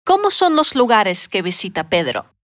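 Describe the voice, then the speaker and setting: A woman asking short comprehension questions in a language lesson, slow and clear. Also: medium pitch, very animated, fairly bright tone, no echo, clean studio sound.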